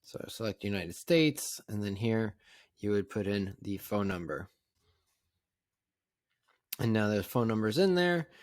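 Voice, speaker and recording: A man narrating a casual step-by-step software tutorial in a plain, conversational, instructional way. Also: lowish voice, lively intonation, a little slow, pauses often, dry recording, clean studio sound. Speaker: tutorial presenter.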